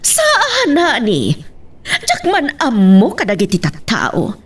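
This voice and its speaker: A woman's voice: fairly high pitch, wildly swooping pitch, slow pace, husky, distant mic, exaggerated voice. A woman with an Ilocano accent playing an earnest, defensive woman in a radio drama.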